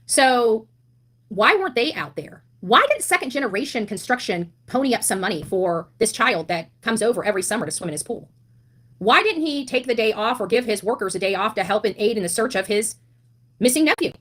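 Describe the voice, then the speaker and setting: Fired up lady ranting commentary, kinda high voice, blunt, keeps hammering her points. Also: animated, a little fast, a bit bright. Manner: indignant, blunt, emphatic with rhetorical questions and repetition. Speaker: online commentator on a missing-child case. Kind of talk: impassioned monologue commentary.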